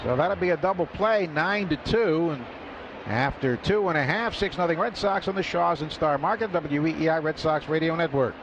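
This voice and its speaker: A man calling a baseball game live on radio, his voice jumping up in pitch and volume on big plays. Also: moderate pace. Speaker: radio play-by-play announcer. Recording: lo-fi radio broadcast with loud ballpark noise.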